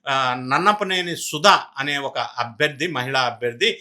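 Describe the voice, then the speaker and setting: A man's voice: mid-range voice, expressive, unhurried, sharp tone. A man with a Telugu accent giving emphatic political news commentary, often posing rhetorical questions.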